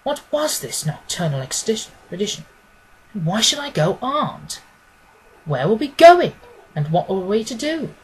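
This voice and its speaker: A man's voice: sits high, sing-song, unhurried, husky, low-quality recording. A man reading scripted literary narration as an audiobook reader.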